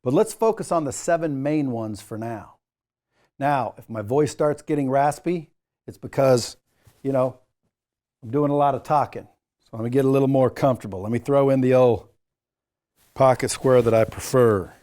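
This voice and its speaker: A man's voice: mid-range voice, normal speed, mellow. A man presenting a training lesson as an instructor, speaking directly to the learner in an emphatic, coaching way with informal asides. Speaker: sales trainer, course instructor.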